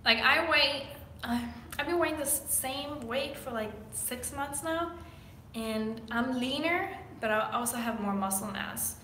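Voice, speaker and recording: A woman's voice: high-pitched, measured pace, bright, forceful tone, a little hiss or hum. A young woman from Denmark with a Danish accent, answering viewer questions as a fitness coach in a casual, chatty way with lots of fillers. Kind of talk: casual Q&A vlog. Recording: echoey room.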